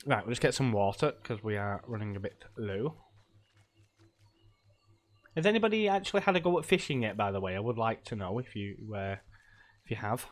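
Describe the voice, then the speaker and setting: Stuffy, low-voiced streamer man. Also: big pitch swings, fairly quick, long pauses. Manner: rambling, chatty, laughs often, many ums. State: stuffy from a cold. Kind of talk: casual live-stream chat while playing.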